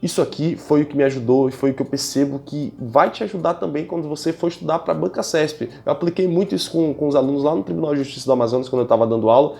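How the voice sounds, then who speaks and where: A young Brazilian man with a Brazilian Portuguese accent gives exam-prep tips straight to camera. He talks fast and loosely, full of slang and direct asides to the viewer. His voice sits a little high and has a gravelly edge. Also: faint music behind. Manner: animated, slangy and direct, addresses viewers as "gente" and "cara". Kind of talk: casual video lesson.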